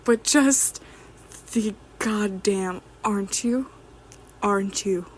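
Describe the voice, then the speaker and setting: A woman records a rambling fan message with audible background noise. Her voice sits at a middle pitch, and she speaks in an intense, heartfelt, emphatic way, casually thinking aloud. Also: takes it slowly. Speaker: listener leaving a voice message.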